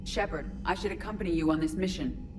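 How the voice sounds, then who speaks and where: A woman voicing a calm, loyal artificial intelligence in a robot body for a video game, speaking in formal, precise phrases. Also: fairly low pitch, fast, background noise, lightly acted. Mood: calm, resolute.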